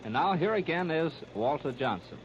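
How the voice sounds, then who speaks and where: American male play-by-play baseball announcer, 1930s radio, higher-pitched voice, scratchy old disc sound. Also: expressive, moderate pace. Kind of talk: 1930s radio sports commentary. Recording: scratchy, muffled 1939 radio disc recording.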